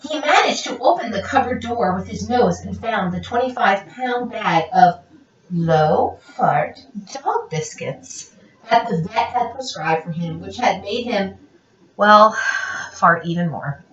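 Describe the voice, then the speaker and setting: A woman reading a children's picture book aloud in a theatrical, expressive, playful way. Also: medium pitch, measured pace. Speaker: read-aloud storyteller. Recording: roomy, dull lo-fi, distant mic.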